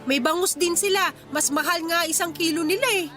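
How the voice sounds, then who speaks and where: A woman's voice: extremely high pitch, brisk, fairly bright tone, soft background music. A woman playing a young, cheeky and persuasive market fish vendor with a Filipino accent in a radio drama. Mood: eager, persuasive. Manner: pleading sales patter with teasing comebacks.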